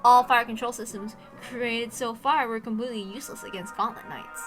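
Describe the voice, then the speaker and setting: A woman's voice: fairly high pitch, fairly even intonation, fairly quick, faint music behind, poor audio. A woman reading a story aloud as dramatic scripted narration, with emphatic, theatrical delivery. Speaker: reader of a visual novel.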